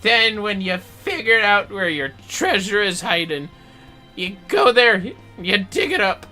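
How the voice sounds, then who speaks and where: A man voicing a greedy, boastful old treasure hunter from a video game, with theatrical piratical bluster and drawn-out words. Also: sits high, big pitch swings, pushed and bright, soft background music, full character voice. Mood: boastful, instructive.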